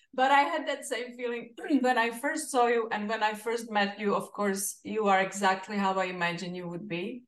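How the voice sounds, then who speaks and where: A woman's voice: mid-range voice, steady tone, normal speed, echoey room, rough recording. A woman from Czechoslovakia with a Czech accent, chatting casually as an interviewer.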